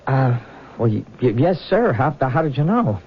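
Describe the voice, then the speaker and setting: An actor playing an earnest young soldier in love in a radio drama, speaking haltingly and eagerly. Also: male voice, mid-range voice, big pitch swings, slight background noise. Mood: nervous, sheepish. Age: young adult. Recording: muffled old radio broadcast.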